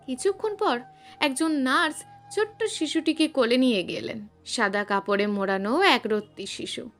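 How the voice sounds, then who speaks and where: A woman's voice: high-pitched, moderate pace, faint music behind. A woman narrating a scripted suspense story in a dramatic, emphatic storytelling manner.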